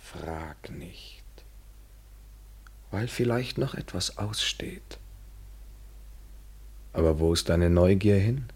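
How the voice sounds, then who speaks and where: Low, husky, world-weary male lover. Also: animated, darkish tone, heavily acted. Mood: wry, reflective. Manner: measured, ironic, articulate. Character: world-weary, evasive male lover, cynical yet tender. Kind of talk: literary German radio play acting, 1970s.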